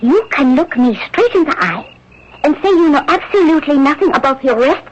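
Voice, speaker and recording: An actress playing an angry, defiant young Frenchwoman in a radio drama, sharp-tongued and emphatic. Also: high voice, expressive, fairly quick. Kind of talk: old-time radio drama acting. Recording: noisy lo-fi radio broadcast recording.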